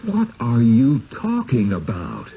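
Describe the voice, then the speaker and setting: An actor playing a blunt, impatient, skeptical husband in a 1970s radio drama, with a brusque way of talking. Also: male voice, mid-range voice, huge pitch swings, slow pace, creaky, mellow, heavily acted. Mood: angry, incredulous. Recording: noisy, muffled old radio broadcast.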